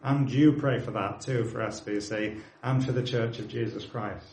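A man's voice: medium pitch, steady tone, normal speed, a little mellow, reverberant, a little hiss or hum. A man of forty with a British accent, preaching a sermon, earnestly urging his congregation and dropping in plain, friendly asides. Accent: British English. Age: 40s.

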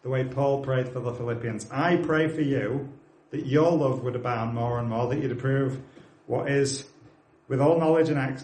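A man's voice: medium pitch, darkish tone, reverberant, rough recording. A man of forty with a British accent, preaching a sermon, earnestly urging his congregation and dropping in plain, friendly asides. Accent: British English. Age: 40s.

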